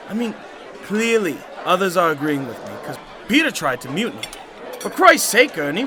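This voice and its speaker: A man's voice: fairly high pitch, noisy background. A man playing an outspoken, doubting sailor in an audio drama, incredulous and complaining. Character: agitated, doubting male sailor, outspoken crewmate.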